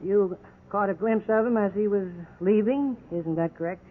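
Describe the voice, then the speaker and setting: An actor playing a gruff, hard-nosed police officer who browbeats people in a 1950s radio drama. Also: male voice, high voice, normal speed, lightly acted. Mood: pressing. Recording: tinny, noisy 1950s radio recording.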